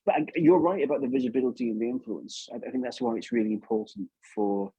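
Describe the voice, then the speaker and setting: A man's voice: mid-range voice, fast talker, gravelly, warm tone, breathy edge, dry recording, highs cut off. A man with an English accent talking casually and enthusiastically as an interview guest, rambling and often repeating words. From England. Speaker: puppeteer, podcast guest.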